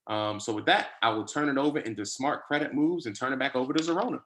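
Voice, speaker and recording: A man presenting on a webinar over a video call, answering an audience question with quick, explanatory talk and fillers. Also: mid-range voice, fairly bright tone. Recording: faint noise and clicks.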